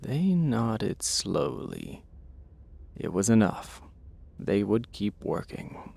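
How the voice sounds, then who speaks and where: A male narrator tells an audio drama story in a husky, fairly low voice. He takes his time, swinging his pitch widely for a wry, dramatic effect. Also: big pitch swings, extremely slow, dark tone. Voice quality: gravelly, husky.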